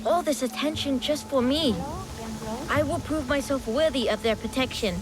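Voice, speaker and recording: An actor voicing a dutiful, earnest young son of a feudal lord in a video game cutscene. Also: male voice, extremely high pitch, normal speed. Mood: earnest, resolute.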